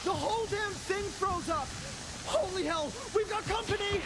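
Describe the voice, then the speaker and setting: An actor playing a nervous, brainy, eager scientist sidekick in a video game cutscene, stammering and fussy. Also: male voice, very high-pitched, normal speed, very noisy. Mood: frantic. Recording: loud music behind the voice.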